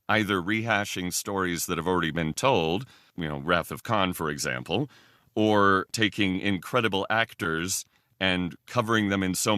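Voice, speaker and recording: A man talking casually as a podcast guest, halting as he thinks aloud, with a self-deprecating manner. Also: sits low, bright, forceful tone, no room sound, studio quality. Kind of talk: casual conversation.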